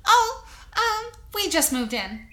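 Woman acting sugary, high-voiced, evasive noir wife. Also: big pitch swings, slow, a bit bright, exaggerated voice. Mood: cheerfully evasive. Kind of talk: improvised film noir audio play.

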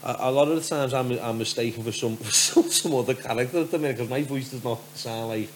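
A man from Liverpool with a softened Scouse accent and a deep voice, telling stories casually as a podcast guest. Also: fast talker, slight background noise. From Liverpool, England. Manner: rambling storyteller, frequent "do you know what I mean".

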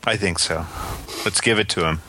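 A man's voice: sits low, normal speed. A man co-hosting a podcast in casual chat, giving brief, agreeable, laconic responses. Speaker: podcast co-host. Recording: noisy background.

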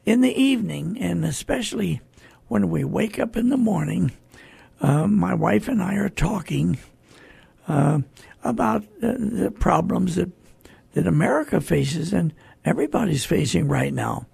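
Older guy hosting radio, really creaky raspy voice, kinda high, stops and starts, earnest. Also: expressive, darkish tone, no echo. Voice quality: gravelly, husky. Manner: halting, earnest, repetitive. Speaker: radio program host. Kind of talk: informal hosting, introducing songs.

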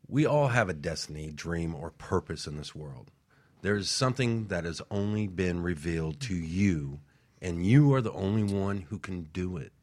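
A man's voice: sits low, lively intonation, moderate pace, darkish tone. A male co-host delivering an emphatic motivational speech with a preaching cadence.

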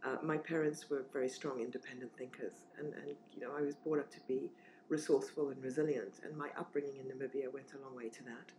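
A woman's voice: a bass voice, steady tone, quick pace, warm tone, slight background noise, rough recording. A woman in her forties from southern Africa, talking casually and reflectively as an interview guest, with plenty of fillers and restarts. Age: 40s.

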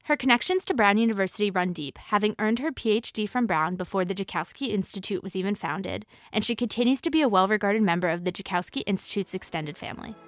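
Woman, podcast host, scripted intro, very fast, dry sound. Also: medium pitch, animated, no room sound. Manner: brisk, read-aloud delivery.

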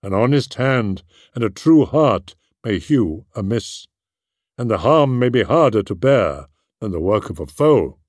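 A man voicing a proud, grave warrior lord speaking kindly to his son in an audiobook, measured and solemn. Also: fairly low pitch, lively intonation, a little mellow, no echo, studio quality. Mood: firm, kindly. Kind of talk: audiobook character voice.

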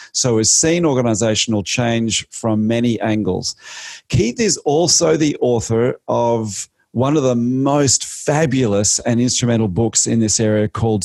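A man's voice: lowish voice, a little mellow, dry recording. A man hosting a podcast interview over a video call, introducing his guest with enthusiasm and stretched-out emphasis. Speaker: podcast host, change consultant.

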